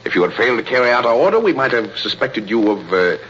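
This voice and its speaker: An actor playing a grave, devoted spy who poses as a military colonel, speaking in measured 1930s radio melodrama style. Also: male voice, mid-range voice, big pitch swings, fairly bright tone. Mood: commanding. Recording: muffled, noisy old radio broadcast.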